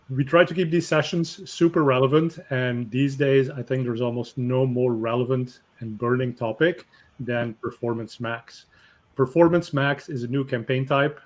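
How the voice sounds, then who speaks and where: Male host, mid-pitch, upbeat and chatty, fillers here and there. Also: moderate pace. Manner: energetic, conversational, with fillers. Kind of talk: webinar hosting.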